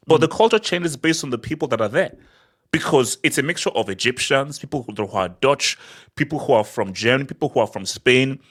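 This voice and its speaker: A man from Nigeria co-hosting a football podcast, talking in an animated, emphatic way and often repeating words as he builds a point. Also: mid-range voice. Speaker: podcast co-host. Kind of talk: casual football discussion.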